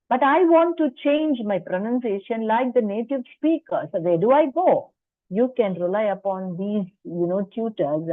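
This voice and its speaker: A woman's voice: mid-range voice, no echo. A woman lecturer presenting at a faculty workshop, explaining in a chatty way with personal asides and rhetorical questions. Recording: dry tinny phone-like line.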